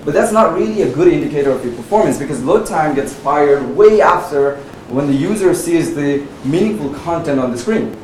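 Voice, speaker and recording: A man from Nepal, in his thirties, speaking at a tech meetup in a relaxed, chatty way with lots of "uh" and "right?". His voice sits at a middle pitch and carries the echo of a big room. He sounds a good way from the mic, with clear background noise. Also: fairly quick, hardly any pauses. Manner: conversational, frequent "uh" and "right?" tags. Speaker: conference speaker. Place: meetup venue, audience present. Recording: echoey room from far off, noisy.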